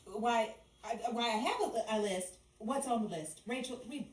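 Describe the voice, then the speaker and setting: Woman playing a playful radio dame, echoey and lively. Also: on the high side, normal speed, lightly acted. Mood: flustered. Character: playful, flustered 1950s single showbiz dame, Betty. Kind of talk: old-time radio comedy acting. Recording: echoey room, distant mic, clear noise.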